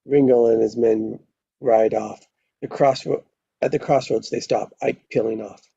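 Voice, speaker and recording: A man's voice: mid-range voice, fairly even intonation, measured pace, vocal fry, warm tone, breathy edge, dry recording. A man reading stage directions as narrator at a live screenplay table read, on a dull lo-fi microphone.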